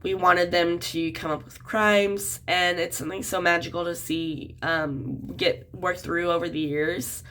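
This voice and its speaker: A woman's voice: lowish voice, steady tone, measured pace, hardly any pauses, fairly bright tone. A woman in her twenties, a children's book author, talking casually to camera while a bit sick, with lots of "um" and "like" fillers. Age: 20s. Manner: chatty, many "um" and "like" fillers.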